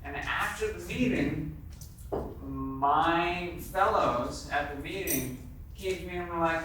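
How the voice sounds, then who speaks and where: A man's voice: fairly high pitch, slow, a bit bright. Hesitant man in a noisy, echoing room. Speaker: meeting participant sharing personal experience. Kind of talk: casual personal testimony. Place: convention meeting room, indoors. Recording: very echoey room, distant mic, clear noise.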